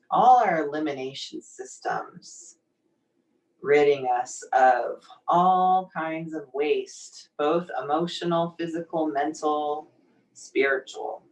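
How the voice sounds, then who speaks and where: A woman leading a guided meditation in a soothing, gently encouraging, unhurried manner. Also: deep voice. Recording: distant microphone pickup.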